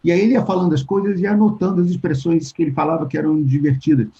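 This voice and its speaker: A Brazilian man with a fairly high but mellow voice, heard over dull, lo-fi call audio. He talks slowly and reflectively, restarting sentences and acting out old conversations as he goes. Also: steady tone, vocal fry. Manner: thoughtful, restarts often, acts out quoted exchanges. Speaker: interview guest, writer. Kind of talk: casual interview talk.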